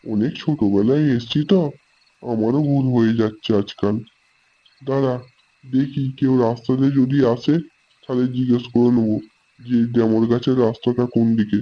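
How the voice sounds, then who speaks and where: Man voicing responsible big brother, horror cartoon, husky medium voice, casual, muffled lo-fi recording. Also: mid-range voice, fairly even intonation, moderate pace, lightly acted. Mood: unsure. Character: friendly, responsible elder brother lost at night. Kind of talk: amateur animated horror story dialogue. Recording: dull lo-fi sound with music.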